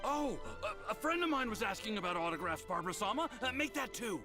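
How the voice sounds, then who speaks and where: A man's voice: high-pitched, very animated, quick pace, over music. A man playing a hesitant, eager fan in a fantasy game, stammering sheepishly. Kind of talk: animated game voice acting.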